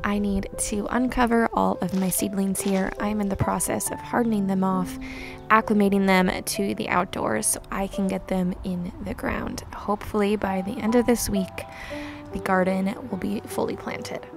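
A young woman narrating her homestead day in a calm voice-over, gentle and unhurried. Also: mid-range voice, vocal fry, music in the background. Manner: gentle, unhurried, with soft laughs. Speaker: homesteading vlogger. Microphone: voice-over recorded separately.

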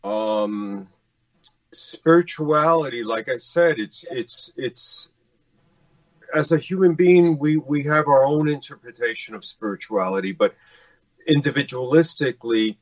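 Unhurried Cuban American man, halting co-host with fillers; dull lo-fi stream sound. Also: a little high. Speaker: podcast co-host. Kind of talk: casual chat.

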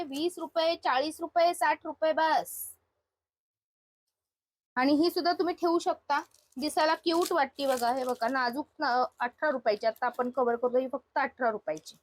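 High-voiced woman presenting a shopping vlog. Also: no room sound. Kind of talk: spontaneous product showcase, presenting to viewers and chatting with the seller.

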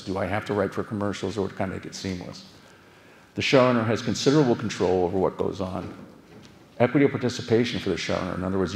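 An older American man delivers a keynote talk in a fairly low voice. He explains things conversationally and often steps aside from his main point. The sound has the pronounced echo of a large auditorium. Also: a little mellow. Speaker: keynote speaker, television showrunner. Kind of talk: conference lecture to an audience. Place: large auditorium stage, indoors.

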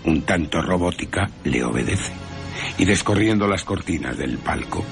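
A man reads descriptive scripted narration with theatrical, deliberate phrasing. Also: sits low, expressive, creaky. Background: faint music.

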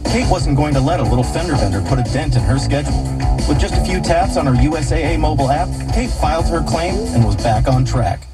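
A man's voice: a little high, lively intonation, fast talker. A man reading scripted ad narration as a commercial announcer. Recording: loud music bed, recorded off car radio. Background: loud music.

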